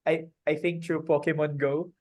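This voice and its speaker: A man's voice: on the high side, little pitch movement. A man from the Philippines, answering webinar questions on a video call and often stopping mid-sentence to find his words. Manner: halting, searching for words.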